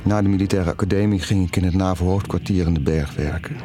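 An actor playing a middle-aged ex-military father in an audio drama, awkward and remorseful, halting as he searches for words. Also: low-pitched, steady tone, over music, natural voice. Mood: reminiscing. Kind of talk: audio drama monologue and dialogue.

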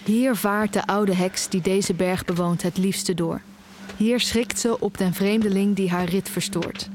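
A woman's voice: medium pitch, steady tone, measured pace, noisy background, lightly acted. An actress playing an anxious young student who tells of her search in an audio drama. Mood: tense, hushed narration. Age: young adult. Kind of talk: Dutch audio drama, first-person narration and dialogue. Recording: music behind the voice.

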